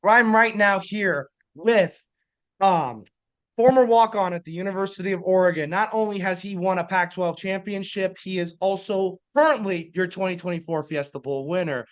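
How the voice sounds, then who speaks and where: A man's voice: sits high, moderate pace, smooth. A man hosting a podcast interview, asking eager, loose run-on questions. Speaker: podcast host.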